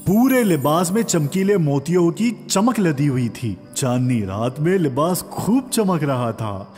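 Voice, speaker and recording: A man's voice: a little high, animated, darkish tone. A man narrating a scripted fairy tale for children as a storyteller. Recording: long reverb, music underneath.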